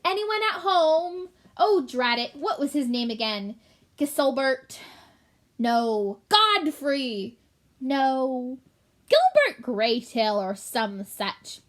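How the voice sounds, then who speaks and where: A blunt little brownie girl from a storybook, voiced by a woman. Her voice is high and jumps around in pitch. She comes across as impatient and direct. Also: big pitch swings, slow pace, full character voice. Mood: calling out, then searching memory.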